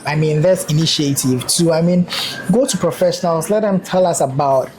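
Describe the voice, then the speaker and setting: Low-voiced woman interviewer. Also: normal speed, a little mellow, a little hiss or hum, rough recording. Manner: encouraging, many short acknowledgements. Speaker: interviewer, host. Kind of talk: interview questions.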